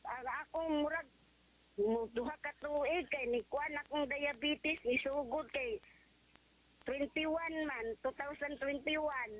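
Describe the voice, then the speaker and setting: A woman from Leyte with a Visayan accent giving a conversational testimonial, halting and deferential. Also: high voice. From Leyte, Philippines. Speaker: testimonial caller. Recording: slightly noisy phone call on radio.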